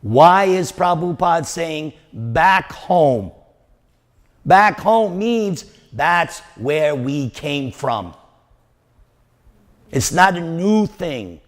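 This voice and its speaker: A man with a New York accent preaches to a congregation, pressing his points home by repeating phrases and asking the audience questions. His voice sits a little high, and he speaks slowly. A long reverb trails each line, as if he is in a large hall. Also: very animated. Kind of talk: devotional lecture to a congregation.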